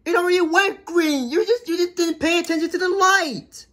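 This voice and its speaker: A woman's voice: extremely high pitch, normal speed, a bit bright, voice pushed up. A woman voicing a bossy, impatient male cartoon car who instructs and scolds as a driving teacher. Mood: exasperated.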